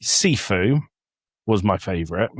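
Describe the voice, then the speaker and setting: A man's voice: sits low, expressive, measured pace, breathy edge, no room sound, clean studio sound. A man in a casual podcast discussion, giving blunt, critical opinions as a panellist.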